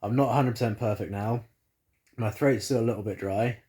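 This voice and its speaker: Man, home vlogger, congested, rambling delivery, low mellow tone, phone-recorded in living room. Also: brisk. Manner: rambling, many fillers and restarts. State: congested after flu. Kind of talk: casual chat to camera. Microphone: phone camera.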